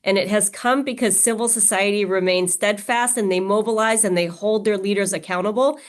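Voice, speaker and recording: Brief: woman, mid-pitched, earnest and measured, conversational interviewer with fillers. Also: fairly even intonation. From Pakistan, raised in Mexico. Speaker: interviewer, organization leader.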